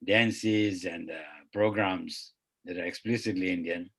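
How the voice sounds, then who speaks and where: A man's voice: low-pitched, steady tone, a little slow, fairly bright tone. A man speaking as a panelist in an online discussion, reflective and hedging, often trailing off mid-thought. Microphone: video call.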